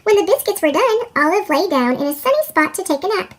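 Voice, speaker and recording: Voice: very high-pitched, big pitch swings, fast talker, fairly bright tone. A storyteller with a sped-up chipmunk voice effect, reading a children's picture book expressively. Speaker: read-aloud storyteller.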